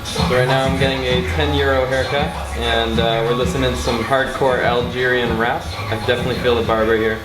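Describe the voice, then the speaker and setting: A man's voice: medium pitch, level tone, brisk, rough recording. A man vlogging casually to camera in an upbeat, chatty and jokey way, as a travel host. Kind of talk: casual vlog chat to camera.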